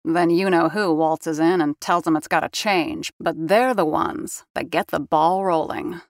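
A woman's voice: fairly low pitch, measured pace, no room sound, clean studio sound. An actress playing a knowing, teasing woman of a theatre troupe, sardonic in manner, in a period audio drama. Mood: knowing, wry.

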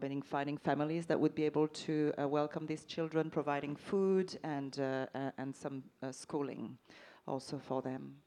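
A woman interpreting live on a panel, summarizing each answer and backing it with soft "mm" sounds. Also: rumbling low.

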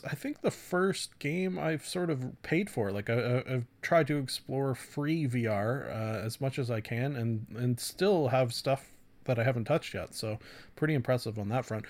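A man's voice: mid-range voice, unhurried, darkish tone. A man hosting a casual solo review podcast, talking in a rambling, slangy and self-deprecating way. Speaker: podcast host reviewing books and games.

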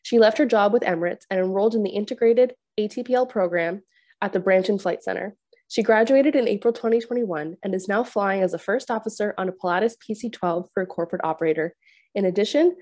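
A woman's voice: medium pitch, mellow. A woman hosting an interview podcast, reading a guest introduction and asking questions with enthusiasm. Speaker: podcast host.

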